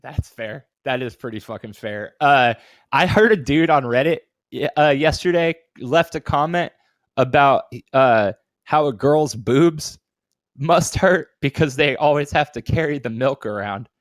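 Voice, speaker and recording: A man's voice: medium pitch, measured pace, no room sound, studio quality. A man co-hosting a podcast, bantering casually in slangy, sweary talk. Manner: profane, slangy. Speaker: podcast co-host. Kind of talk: casual chat with comedic banter.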